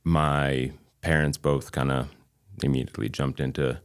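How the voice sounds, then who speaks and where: A man in his thirties, a native Albanian speaker from Kosovo with a light New England accent, talking as a guest in a measured, reflective way with frequent pauses. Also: a bass voice, level tone. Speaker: guest chiropractor. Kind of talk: casual interview chat.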